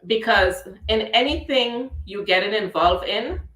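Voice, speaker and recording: A chatty, playful woman with a Trinidadian accent hosts a casual radio talk show, her voice sitting at an ordinary middle pitch. The sound is roomy, as if she is talking over an online call from a reverberant room. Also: animated, measured pace, a bit bright. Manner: chatty, playful, laughs easily.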